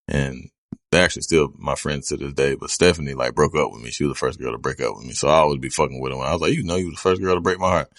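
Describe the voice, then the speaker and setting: Podcast co-host, man in his thirties, deep bass voice, relaxed and profane. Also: dry recording. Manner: laid-back, profane, storytelling. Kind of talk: casual chat.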